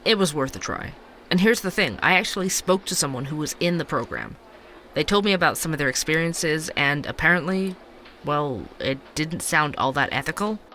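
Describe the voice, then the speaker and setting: Investigative podcast host, fairly high voice, crisp bright tone, conversational, wry, fictional podcast. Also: male voice, lively intonation, normal speed, slight background noise. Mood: curious, hesitant. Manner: conversational, wry, hesitant asides. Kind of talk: fictional podcast narration and dialogue.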